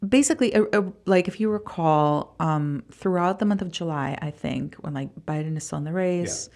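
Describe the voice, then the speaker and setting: Low-pitched, creaky-voiced woman from Costa Rica. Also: a little mellow. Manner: informal, halting, emphatic with repeated words. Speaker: podcast guest, venture fund founder. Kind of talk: casual interview conversation.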